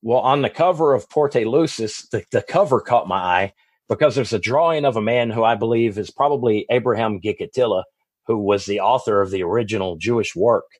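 A man's voice: on the low side, no room sound. A man with a Texas accent, a guest author giving long, rambling answers in a podcast interview, often restarting and correcting himself. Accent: Texan.

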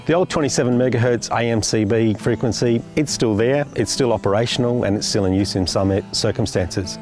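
A man's voice: medium pitch, a little fast, darkish tone, soft background music. An Australian man in his forties presenting an explainer to camera, stressing key terms. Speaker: company presenter.

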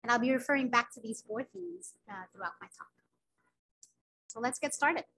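A woman's voice: fairly high pitch, moderate pace, a bit bright, rough recording. A woman from Sudan, Arabic her first language, giving an earnest academic lecture over a video call. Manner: earnest, personal storytelling with frequent filler words. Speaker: physician giving a grand rounds lecture.

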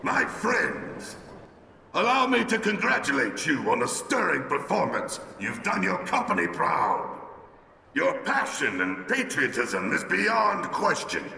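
Male game villain type: grandiose, theatrical official, bright and gravelly, speaking in a reverberant hall. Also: on the high side, wildly swooping pitch, a little slow, slight background noise, full character voice. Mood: pompous. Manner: pompous, grandiose, flamboyant. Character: pompous, theatrical male company official hosting awards. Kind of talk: ceremonial speech. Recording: echoey public-address hall reverb.

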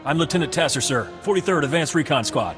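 An actor voices an energetic young male lieutenant, fairly high and very animated. He is brisk and respectful, a game soldier in a long reverb with music behind him. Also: lightly acted. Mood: commanding. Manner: brisk, commanding, deferential to superior. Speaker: military lieutenant. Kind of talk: video game voice acting.

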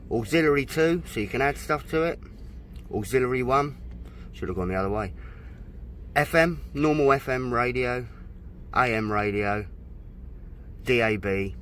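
A man's voice: mid-range voice, fairly bright tone, slight background noise. A man from the United Kingdom, casually demonstrating his boat stereo outdoors and reading out menu items as he goes. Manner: chatty, unhurried, reads out menu items. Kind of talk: casual hands-on product demo. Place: outdoors at a boat in a dry stack.

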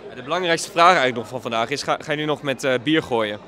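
Fast-talking young male street reporter. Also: mid-range voice, bright, forceful tone. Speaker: street interviewer. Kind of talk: vox pop street interviews. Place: outdoor town festival. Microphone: handheld reporter mic.

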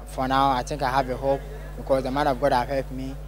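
A man's voice: mid-range voice, completely flat delivery, brisk, background noise. A young man with a Ghanaian accent gives a plain testimony in an interview as a student. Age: young adult.

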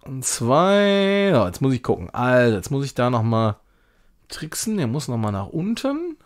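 Animated man chatting on stream. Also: mid-range voice, normal speed. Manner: jokey, chatty, self-ironic, slipping into mock-grand English. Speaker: game streamer. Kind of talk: casual live-stream gameplay commentary.

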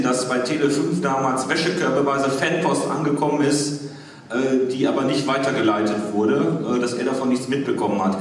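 A man in the audience putting a spontaneous question to a panel. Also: mid-range voice. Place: large hall, recorded from the audience. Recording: very echoey hall from far off.

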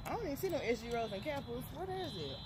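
Fast-talking outspoken young woman outdoors. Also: sits high, very animated, a little mellow. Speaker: friend of the vlogger. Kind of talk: casual chat. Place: outdoors on a college campus. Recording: outdoor phone recording with clear background noise.

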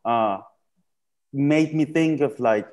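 A man from Colombia talks on a video call from a small room, his voice sitting at an ordinary middle pitch. He thinks aloud, stopping and restarting often and leaning on fillers as he works toward each idea. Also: a little mellow. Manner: halting, many fillers and restarts. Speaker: panel guest. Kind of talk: reflective panel discussion over video call. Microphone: video call webcam.

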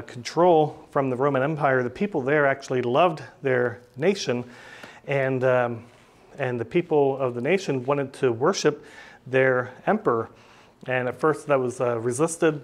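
A middle-aged man, preaching a teaching sermon at a podium in a small church and speaking plainly with many "uh" and "um" fillers. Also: mid-range voice. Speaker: pastor. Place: small church room, indoors. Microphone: podium microphone.

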